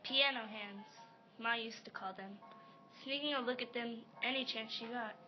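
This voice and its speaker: A wistful, hurt young woman in a radio play, acted by a woman. Her voice sits fairly high. The recording is a bit dull, with music playing softly behind her lines. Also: normal speed, close to an everyday voice. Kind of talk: amateur radio play dialogue.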